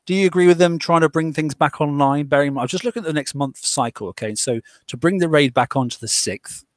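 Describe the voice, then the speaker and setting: A man's voice: a little high, quick pace, dry recording, clean studio sound. A man hosting a casual discussion, rambling along with lots of fillers and restarts. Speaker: podcast host.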